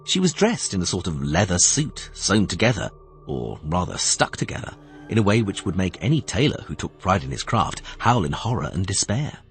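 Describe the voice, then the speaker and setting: A man narrating a fantasy audiobook as scripted storytelling, with expressive, dramatic phrasing. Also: deep voice, normal speed, soft background music.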